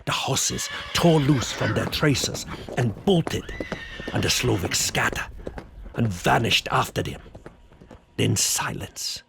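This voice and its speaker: An actor playing a grave older vampire-hunting professor, narrating a gothic radio play with measured, stilted foreign phrasing. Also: male voice, mid-range voice, expressive, vocal fry, a bit bright, a little hiss or hum, exaggerated voice. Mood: tense, grave. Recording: dramatic music behind the voice.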